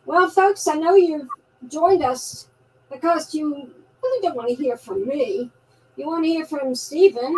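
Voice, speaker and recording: An older woman with a New York accent talks in a folksy, chatty way, often restarting her sentences. Her voice sits high for a woman. She sounds set back from the mic, with a faint hiss of noise behind her. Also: far from the mic, low-quality recording. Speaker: guest activist. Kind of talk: live online talk show, casual monologue.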